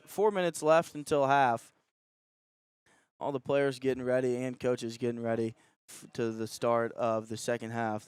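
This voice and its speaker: A man's voice: mid-range voice, smooth, no room sound. A man doing live play-by-play commentary as a sports radio announcer.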